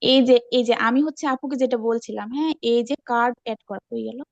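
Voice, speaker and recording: A woman's voice: a little high, fast talker, creaky, dry recording, muffled recording. A chatty woman with a Bangladeshi accent explaining and repeating herself in a casual screen-share discussion on an online call. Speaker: online class participant presenting her channel.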